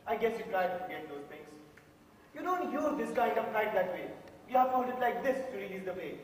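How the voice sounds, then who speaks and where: Guy playing earnest juror from a rough area, indian accent, high voice, echoey hall far from mic. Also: animated, brisk. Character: earnest quiet juror raised in a rough neighborhood. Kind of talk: amateur stage drama acting. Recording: echoey theatre hall recorded from far off, hiss.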